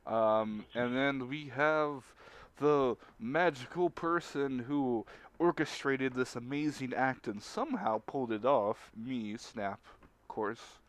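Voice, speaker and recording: A man hosting a casual group livestream, talking in a halting, informal, slangy way. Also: medium pitch. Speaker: livestream host and show organizer.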